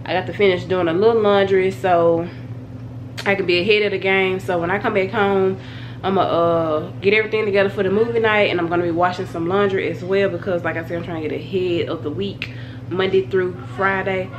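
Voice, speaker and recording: A woman's voice: lowish voice, steady tone, brisk, dull audio. A young woman with a cold, chatting casually to her phone camera at home with a congested, nasal voice. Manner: chatty, rambling, addresses viewers as y'all. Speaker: family vlogger. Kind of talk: casual vlog chat to camera. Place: bedroom at home, indoors. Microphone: phone camera held at arm's length. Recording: noisy home phone recording.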